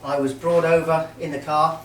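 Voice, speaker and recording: A middle-aged man from Merseyside giving a halting, joking best man's speech at a wedding reception. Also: on the high side, flat delivery. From Merseyside, England. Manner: warm, joking, halting with many ums. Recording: roomy hall, mic far off, faint noise.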